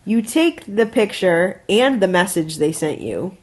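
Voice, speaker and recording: A woman's voice: mid-range voice, animated, measured pace, a little mellow. An American woman co-hosting a podcast, giving casual advice in an instructive, emphatic way. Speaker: podcast co-host.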